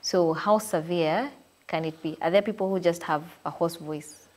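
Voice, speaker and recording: A woman with a slightly low voice hosts a health talk show. She asks her guest questions in an attentive, conversational way. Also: normal speed, a little mellow. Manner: attentive, asks questions between frequent short backchannels. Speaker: TV host. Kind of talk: interviewing a guest.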